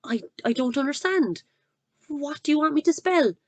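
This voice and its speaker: A woman voicing a nervous young schoolboy who answers in a high, eager, squealing voice. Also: lively intonation, moderate pace, darkish tone, no echo.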